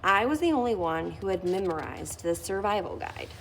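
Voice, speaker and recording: A woman's voice: mid-range voice, expressive, normal speed, a little hiss or hum. A woman reading a children's picture book aloud, lifting her voice expressively on key phrases. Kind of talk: read-aloud storytelling.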